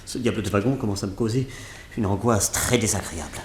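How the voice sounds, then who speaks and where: An actor playing a nervous, excitable male museum director in a radio drama, often exclaiming in worry. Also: lowish voice, fast talker, echoey room, a little hiss or hum, close to an everyday voice. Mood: worried.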